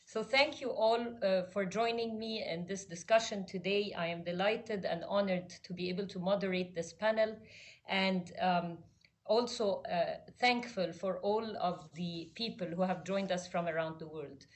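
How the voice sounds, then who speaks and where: A woman's voice: mid-range voice, normal speed. A woman moderating a panel discussion, polite and hesitant with frequent fillers.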